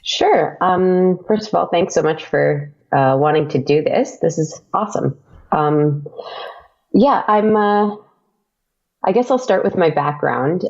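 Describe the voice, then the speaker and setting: A woman's voice: sits low, creaky, a little mellow, dull audio. A woman speaking casually as an interview guest, thinking aloud in a hesitant way. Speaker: interview guest, writer and podcaster.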